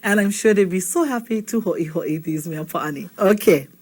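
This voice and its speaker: A woman's voice: medium pitch, normal speed, a little mellow. A woman teaching vocabulary in a casual video lesson. She keeps checking in with the learner, asking "okay?" after nearly every point. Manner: chatty, checks in with "okay?" constantly. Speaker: Hawaiian language teacher.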